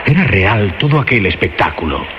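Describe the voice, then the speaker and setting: Very deep-voiced male horror narrator. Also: very animated, moderate pace, background noise. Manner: solemn, literary storytelling. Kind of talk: scripted horror radio drama narration. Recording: dull lo-fi track under loud music.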